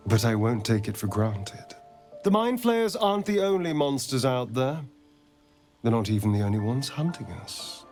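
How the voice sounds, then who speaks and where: An actor playing a haunted, sardonic centuries-old vampire spawn in a fantasy game, speaking with bitter wit and dramatic pauses. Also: male voice, medium pitch. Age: centuries-old undead. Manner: theatrical, wry, bitter, deliberate with dramatic pauses.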